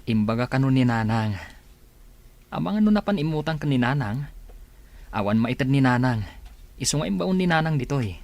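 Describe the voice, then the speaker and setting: A man's voice: mid-range voice, lively intonation, moderate pace, long pauses, darkish tone, natural voice. An actor with a Filipino accent playing an earnest, hardworking married man in a radio drama. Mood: hurt, bitter.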